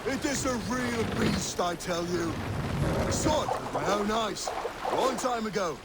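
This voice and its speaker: An actor playing a seasoned, boastful Viking warrior telling a theatrical tale in a game. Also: male voice, high voice, unhurried. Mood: dramatic yarn-spinning. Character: seasoned male Viking warrior spinning boastful sea yarns. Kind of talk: dramatic oral storytelling. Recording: steady water and boat noise behind. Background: boat on water.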